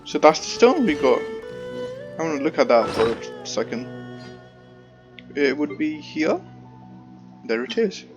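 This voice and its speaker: Male let's play host, somewhat high-pitched, offhand short reactions, casual commentary with faint game music. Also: big pitch swings, unhurried, long pauses, highs cut off.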